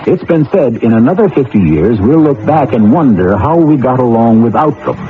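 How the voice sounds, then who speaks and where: A man's voice: medium pitch, animated, normal speed. A man hosting a radio drama, delivering dramatic scripted narration. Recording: muffled old radio broadcast, noisy.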